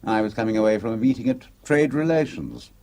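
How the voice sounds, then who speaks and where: An actor playing a suave, smooth-talking male schemer in a radio drama, condescending and fond of saying dear boy. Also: fairly low pitch, animated, a little fast, mellow. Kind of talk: 1970s radio drama acting. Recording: old radio broadcast with faint hiss.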